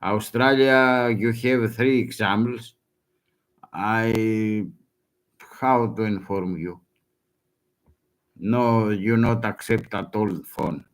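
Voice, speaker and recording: A man whose first language is Greek, speaking broken English in a halting, urgent warning with many restarts. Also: fairly low pitch, rough recording. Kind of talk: halting self-recorded warning.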